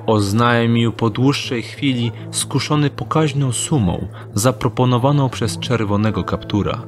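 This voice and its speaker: A man's voice: medium pitch, a little slow. Male audio drama narrator over music. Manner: steady descriptive storytelling.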